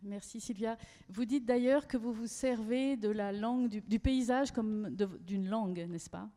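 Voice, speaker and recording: Woman panel moderator, formal and measured, warm mellow tone, long hall reverb. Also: fairly high pitch. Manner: measured, many hesitation fillers. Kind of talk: academic moderation.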